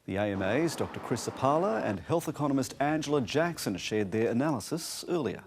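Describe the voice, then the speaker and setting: A man's voice: mid-range voice, lively intonation, fairly quick, noisy background. A man with an Australian accent presenting the news and putting questions to guests in a broadcast style.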